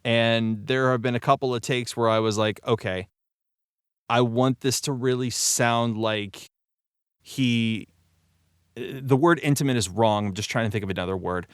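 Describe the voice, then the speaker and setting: A man with a clear, smooth voice in a casual panel chat. He talks like an animated storyteller, and the sound is close and dry. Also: mid-range voice, measured pace, dry recording. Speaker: voice actor discussing his role.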